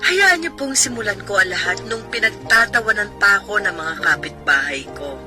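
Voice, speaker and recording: Actress as grateful devout letter writer, animated pitch, radio drama narration, music behind. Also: female voice, moderate pace, close to an everyday voice. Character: grateful, devout woman reading her testimony letter.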